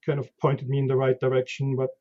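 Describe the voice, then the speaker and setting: A man's voice: mid-range voice, completely flat delivery, fast, highs cut off. A man from Switzerland with a Swiss German accent, a guest chatting casually about his background in an interview. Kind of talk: conversational interview.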